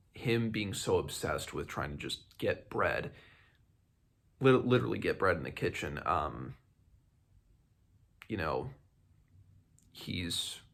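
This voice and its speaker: A man hosting a book read-along, talking casually and haltingly as he thinks aloud. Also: deep voice, gravelly, a bit bright.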